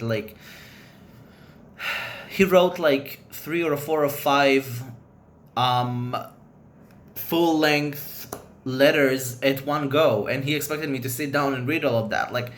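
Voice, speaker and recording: A man's voice: medium pitch, fairly bright tone, a little hiss or hum. A man whose first language is Hebrew, chatting casually to camera as a vlogger, rambling loosely and giving frank opinions.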